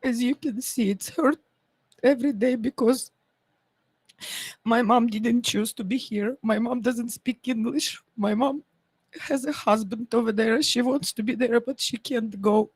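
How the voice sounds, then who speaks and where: A woman's voice: fairly high pitch, a little mellow, slightly rough, no room sound, rough recording. Ukrainian-accented woman, dry close sound. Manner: earnest, halting, non-native grammar. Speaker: guest. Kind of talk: personal testimony on a talk show.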